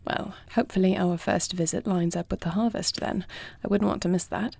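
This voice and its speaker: A woman playing a compassionate, self-doubting young dragon queen in a fantasy audio roleplay, speaking formally, earnestly and with soft intimacy. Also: fairly low pitch, steady tone, a little fast, vocal fry, breathy edge, no room sound, exaggerated voice. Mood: curious, warm.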